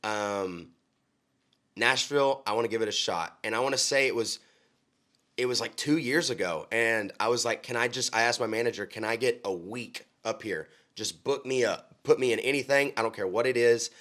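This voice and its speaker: A man's voice: medium pitch, normal speed, fairly bright tone. A young man from Oklahoma, a country singer, talking earnestly in a casual interview with frequent ums and restarts. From Oklahoma, USA.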